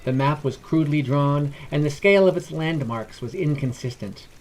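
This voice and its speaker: A man's voice: on the high side, normal speed, slight background noise. A man reading scripted fantasy narration as a storyteller.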